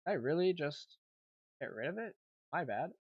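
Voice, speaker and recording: A man's voice: on the high side, big pitch swings, moderate pace, slightly rough, no echo, phone-quality sound. A young man giving casual, informal live trading commentary as a livestream host, thinking aloud as he goes. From Canada. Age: young adult. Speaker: livestream host, amateur day trader.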